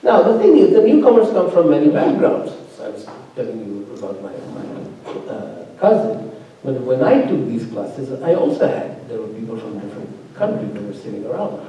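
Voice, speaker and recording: A man's voice: medium pitch, big pitch swings, normal speed, muffled recording. Distant man in a roomy hall. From immigrant living in Norway. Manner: discursive, with many fillers and restarts. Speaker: faculty opponent at a thesis defense. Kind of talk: spoken academic commentary.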